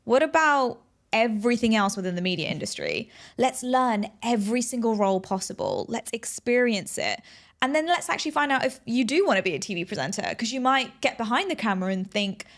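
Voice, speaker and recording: A woman's voice: a little high, big pitch swings, a little fast. A woman speaking as an interview guest, telling personal stories conversationally in an earnest, emphatic, motivational way. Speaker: interview guest, aspiring TV presenter telling career story.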